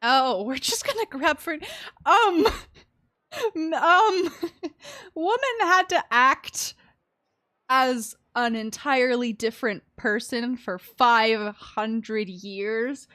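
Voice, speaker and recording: A woman's voice: high voice, animated, slow, pushed and bright. A woman streaming a game with casual, chatty, giggly and playfully dramatic commentary.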